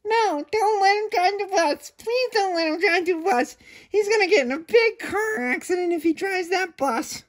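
A man voicing a timid little puppy, high and squeaky, hesitant and pleading. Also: moderate pace.

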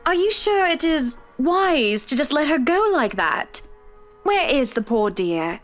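Fussy, gushing young woman, put on by a man; high, swooping, over soft music. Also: very animated, measured pace, heavily acted. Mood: sugary. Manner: fussy, sugary, says "dear". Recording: game music behind.

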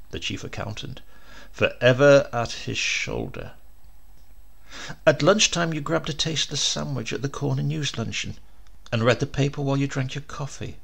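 A man's voice: medium pitch, gravelly, a bit bright, husky. A man narrating a short story as a scripted, dramatic audiobook reading.

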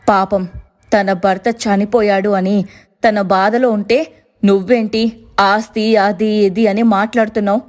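A woman's voice: mid-range voice, a little mellow, lightly acted. A woman voicing a compassionate but reproachful older mother-in-law in a moral story, chiding in a plaintive way. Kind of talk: dramatized moral story dialogue.

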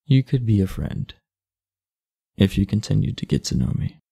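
A man acts out a big, aloof class delinquent in an intimate ASMR roleplay. His voice is low and husky with heavy fry, and it moves widely in pitch. He talks bluntly and confidingly, laid-back but secretly earnest. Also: very animated, moderate pace, mellow, full character voice. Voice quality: gravelly, husky.

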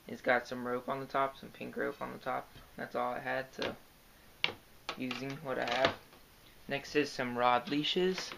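A young man talks softly and haltingly, with lots of fillers, as he points out gear for the camera. His voice is gravelly and stays on a flat, even pitch. A steady hiss from the handheld camera sits under the talk. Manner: soft-spoken, hesitant, lots of fillers. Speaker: kayak angler showing his gear. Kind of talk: casual show-and-tell vlog. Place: indoors, carpeted room at home. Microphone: handheld camera close to the gear. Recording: noisy home camera recording.

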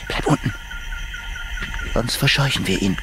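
A man's voice: medium pitch, unhurried, music in the background, natural voice. A man playing a practical, curious expedition companion in a science fiction radio drama, speaking tersely. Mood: hushed, alert.

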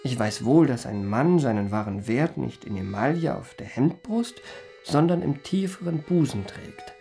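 A smug gentleman swindler tells his memoirs in a radio drama. His mid-pitched voice has some gravelly fry, his phrasing is ornate and pompous, and faint music plays behind him. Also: animated, moderate pace. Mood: pompous, pleased. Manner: ornate, pompous, self-admiring. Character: urbane, self-satisfied gentleman impostor recounting his memoirs. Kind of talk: radio play first-person narration.

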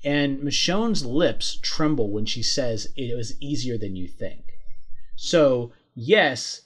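A man's voice: mid-range voice, lively intonation, slow, a bit bright. A man co-hosting a podcast, giving casual commentary on a TV episode with dry asides. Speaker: podcast co-host. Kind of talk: casual recap chat about a TV episode.

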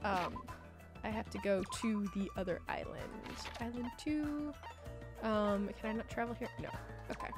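A woman hosting a let's play, commenting casually in an expressive, slangy, giggly way. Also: mid-range voice, moderate pace. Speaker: let's play host and player. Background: video game music.

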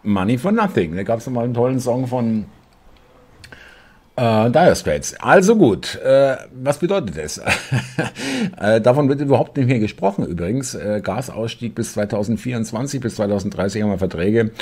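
A man in his fifties hosting casual political commentary from a home desk, halting with many filler sounds and sarcastic asides. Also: medium pitch, expressive, mellow, slightly rough.

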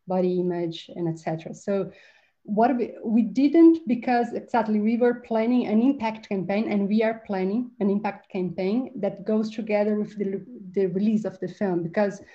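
Woman, 30s, film director, earnest, halting, mellow tone, dull video call audio. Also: medium pitch. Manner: earnest, halting, restarts phrases. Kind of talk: online panel discussion. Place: home video call, virtual library background. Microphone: webcam mic on video call.

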